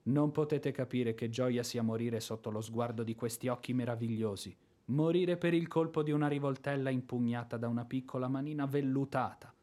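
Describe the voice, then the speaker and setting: An actor playing a hot-headed, lovestruck ex-army officer in a theatrical radio drama, bombastic and impulsive. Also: male voice, mid-range voice, fairly even intonation, fairly quick, hardly any pauses, dark tone, close to an everyday voice. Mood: desperate ardour. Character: blustering, hot-headed ex-artillery officer, helplessly smitten.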